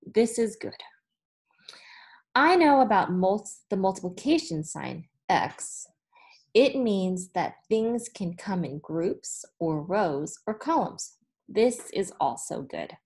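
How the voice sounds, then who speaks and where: A woman in her thirties, a teacher reading a storybook aloud to children with deliberate phrasing and expressive emphasis. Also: medium pitch. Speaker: elementary school teacher. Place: small indoor room with whiteboard.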